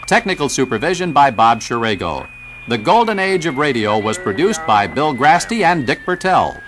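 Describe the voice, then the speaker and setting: A man's voice: mid-range voice, sing-song, normal speed, noisy background. A man reading scripted sponsor announcements and credits as a radio announcer. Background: music.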